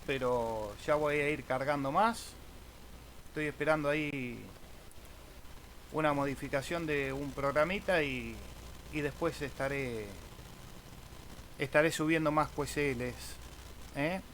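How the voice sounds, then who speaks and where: A man's voice: fairly high pitch, measured pace, pauses often, fairly bright tone, rough recording. A man giving an informal, casual screen-share tutorial as an amateur radio YouTuber, often tagging phrases with a questioning "eh?". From Argentina. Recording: clear background noise.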